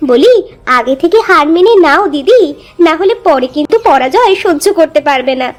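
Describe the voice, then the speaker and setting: A woman voicing a haughty, spiteful young village wife in a cartoon, taunting and shrill. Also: expressive, normal speed. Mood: taunting, smug. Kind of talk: cartoon voice acting.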